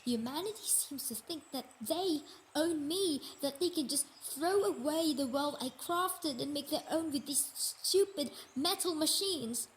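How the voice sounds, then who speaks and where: A woman's voice: high-pitched, lively intonation, measured pace, slight background noise, poor audio. A woman voicing a sickly, motherly Earth goddess in an intimate roleplay monologue, hesitant and emotional, dipping toward whispers. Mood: wounded anger. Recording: nature ambience with birdsong behind voice.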